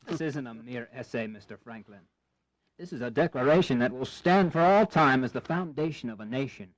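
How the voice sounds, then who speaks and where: A male actor as a reluctant, courteous founding-era gentleman in an audio drama. His voice sits at an ordinary male pitch in a dry recording, with formal wording and a hesitant, self-effacing manner. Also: expressive, brisk, no room sound, natural voice. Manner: hesitant, formal, mildly flustered. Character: modest, reluctant, courteous Virginian gentleman statesman. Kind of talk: historical audio drama acting.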